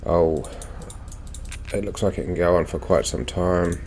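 A man's voice: low-pitched, measured pace, a little mellow, slight background noise. A man giving casual playthrough commentary on a video game, thinking aloud as he goes. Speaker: gaming video creator.

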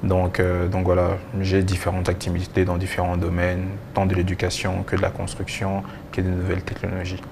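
A young man giving halting answers with repeated words as a guest in a TV interview. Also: low voice, monotone, gravelly, background noise. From DR Congo and Belgium. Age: young adult. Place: TV studio. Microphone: lapel mic.

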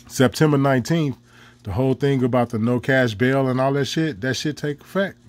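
A man, ranting angrily in a profane commentary. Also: mid-range voice. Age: 40s. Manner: profane, heated, laughs mid-rant.

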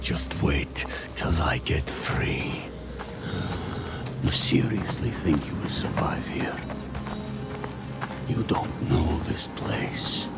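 A grim captive slaver in a fantasy game. His voice is deep and gravelly, his delivery terse and foreboding, with loud music behind it. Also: lively intonation, drawn-out words, long pauses, full character voice. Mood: threatening. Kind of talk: dark fantasy game acting.

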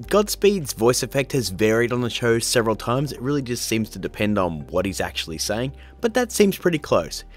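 A man in his thirties hosting a step-by-step video tutorial in an upbeat, chatty, informal way, talking straight to viewers. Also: medium pitch. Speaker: host, video tutorial presenter.

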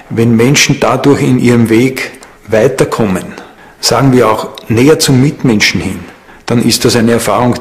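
A man explaining his view in a documentary interview, measured and formal, choosing his words carefully. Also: fairly low pitch, vocal fry. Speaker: expert interviewee.

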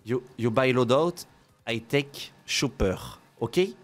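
A young French man with a French accent, chatting excitedly while streaming a game, mixing French and broken English. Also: mid-range voice, slow, soft background music. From France. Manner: animated, shouty, mixes French and broken English. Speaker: gaming streamer. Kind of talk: excited gameplay chat with a stranger. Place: home gaming room. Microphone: headset mic.

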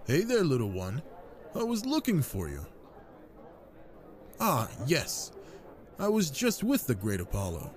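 Casting: male actor as a charming god of wine and revels, very animated mid-range voice, playful and seductive, audio roleplay. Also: medium pitch, unhurried, long pauses. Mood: flirtatious, tender.

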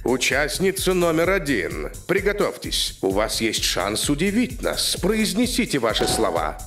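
A man's voice: fairly high pitch, huge pitch swings, unhurried, fairly bright tone, faint music behind, full character voice. A man voicing a polite, ceremonious elderly henchman who hosts a contest in a cartoon dub. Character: elderly formal male henchman hosting a contest. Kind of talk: cartoon dub, game-show announcing.

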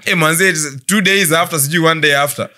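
Brief: young Kenyan guy, quick, bright, storyteller on a studio sofa mic. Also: fairly high pitch. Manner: animated storyteller, mimics others. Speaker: podcast co-host. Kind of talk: casual chat in Sheng and English. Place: studio living-room set, indoors. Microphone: boom mic on stand.

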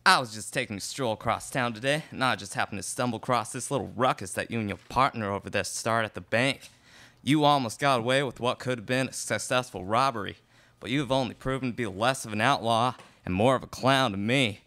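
A man's voice: medium pitch, brisk, pushed and bright. A man playing a cool, taunting outlaw in a Western radio play, with a smug, menacing manner. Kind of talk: student live radio play read from script.

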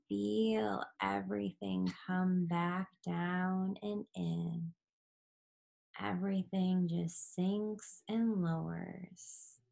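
Woman, yoga nidra teacher, extremely slow pace, lowish creaky voice, soothing guided meditation, breathy endings. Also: a little mellow. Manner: soothing, unhurried, with long pauses.

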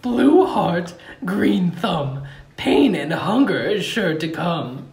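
A woman's voice: lowish voice, big pitch swings, slow pace, gravelly, a crowd in the background, full character voice. A woman voicing a scheming male court jester in a comedy table read, declaiming in a theatrical singsong. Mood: gleefully menacing. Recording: roomy echo with background noise.

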